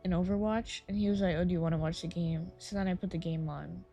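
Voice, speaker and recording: A woman's voice: on the low side, fast talker, gravelly, lo-fi sound, faint music behind. A woman game streamer chatting casually on a live stream, her voice tired and a little scratchy. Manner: relaxed, rambling, chatty.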